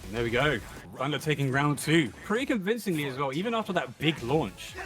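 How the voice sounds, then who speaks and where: A man with a deep, low cadence doing excitable, jokey live commentary on a fighting-game tournament. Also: brisk, over music. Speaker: esports commentator.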